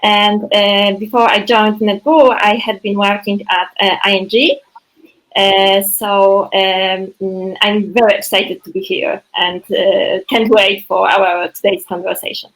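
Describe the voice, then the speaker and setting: A woman's voice: mid-range voice, little pitch movement, unhurried, fairly bright tone, rough recording. Shy Polish woman recruiter. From Poland. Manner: shy, hesitant. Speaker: recruitment specialist.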